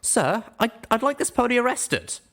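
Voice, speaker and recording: A man's voice: extremely high pitch, sing-song, normal speed, fairly bright tone, voice pushed up, exaggerated voice. A man voicing an indignant young female pony adventurer who protests earnestly in an audiobook story.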